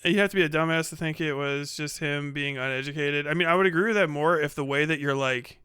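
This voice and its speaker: A man's voice: a little high, quick pace, bright, forceful tone, clean tone, no room sound. A man hosting a casual livestream, chatting and replying to viewers in slangy, profane language. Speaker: livestream host. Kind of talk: casual livestream chat, reading and answering viewer comments.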